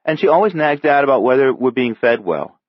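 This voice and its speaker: A man's voice: medium pitch, expressive, a little fast, no room sound, voice pushed up, lightly acted. Storybook role: man as stubborn, worried little boy of seven, insistent and childish, voice lifted well above the reader's own, dull recording. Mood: anxious pestering. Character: small seven-year-old boy, anxious, stubborn, pestering.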